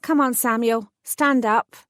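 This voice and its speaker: A woman reading aloud, voicing a bold young heroine who speaks briskly and sharply. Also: on the high side, steady tone, fairly bright tone, no room sound, studio quality, voice pushed up.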